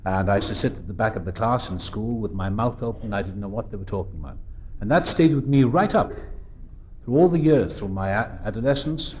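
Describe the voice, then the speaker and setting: A Welsh man in his fifties speaks from a podium microphone in a meeting room. His voice sits low and he talks earnestly and reflectively, pausing and restarting as he works through his thoughts. A faint hiss lies under the recording. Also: darkish tone. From South Wales. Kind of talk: personal recovery talk.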